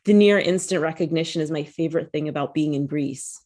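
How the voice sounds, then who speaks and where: A woman author reading her own personal essay aloud, at a measured and reflective pace, over a video call. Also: sits low, darkish tone. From United States.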